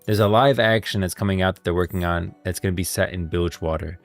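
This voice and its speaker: A man's voice: sits low, quick pace, soft background music. A man hosting a livestream with casual, emphatic and opinionated commentary on game lore. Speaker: livestream host.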